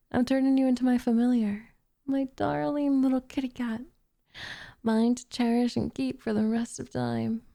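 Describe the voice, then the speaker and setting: A woman playing a possessive, lovestruck witch in an ASMR roleplay, coaxing and condescending in a soft voice that slips into whispers. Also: on the high side, steady tone, unhurried, creaky, pauses often. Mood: possessive, smug.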